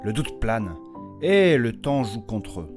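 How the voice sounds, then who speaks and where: A man's voice: medium pitch, very animated, slow pace, darkish tone. A man narrating a fantasy audio saga in a solemn, dramatic scripted storyteller style. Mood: solemn suspense. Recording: music behind the voice.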